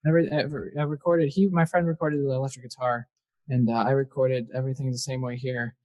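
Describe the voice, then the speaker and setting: A man's voice: medium pitch, fairly even intonation, fairly quick, a little mellow, muffled recording. A young man, a singer-songwriter guest, chatting casually in a podcast interview and rambling with fillers. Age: young adult.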